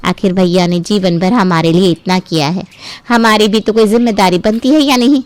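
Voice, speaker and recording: A woman's voice: medium pitch, fairly even intonation, moderate pace, dry recording, lightly acted. A woman voicing a greedy, scheming younger sister-in-law in a family cartoon story, ingratiating and smug.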